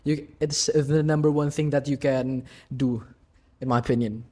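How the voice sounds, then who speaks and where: A man's voice: mid-range voice, steady tone, normal speed, a little mellow. A young man from Indonesia co-hosting a casual podcast chat, friendly, often repeating words and talking to listeners as guys. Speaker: podcast co-host.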